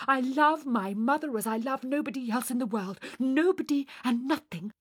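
A woman's voice: sits high, husky, no echo, clean studio sound, pitched above the performer's own voice, full character voice. A woman playing a theatrical, self-pitying young woman with grand artistic airs in a dramatised literary story reading. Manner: theatrical, swooning, emphatic delivery.

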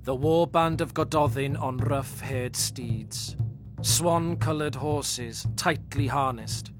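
A man reading an old war elegy in English translation, solemn and deliberate. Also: medium pitch, over music. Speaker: reader of the poem in translation. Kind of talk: slow dramatic poetry reading.

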